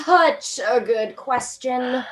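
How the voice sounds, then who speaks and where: A woman's voice: high voice, very slow, low-quality recording. A woman playing a weary, sarcastic young commuter stranded after a missed train. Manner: dry, sarcastic.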